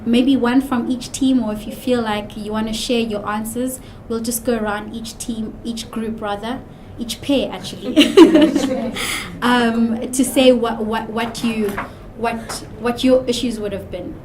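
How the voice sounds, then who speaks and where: A woman's voice: on the high side, fairly even intonation, measured pace, crowd noise, low-quality recording. A woman leading a class discussion, hesitant and often correcting herself. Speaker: discussion facilitator.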